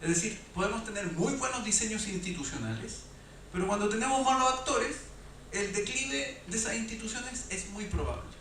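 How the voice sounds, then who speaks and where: A man speaks as a panelist, building his argument in a measured, reflective way. His voice sits fairly high for a man. He sounds far from the microphone in a very echoey lecture hall with faint noise behind. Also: lively intonation, a bit bright. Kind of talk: panel discussion talk.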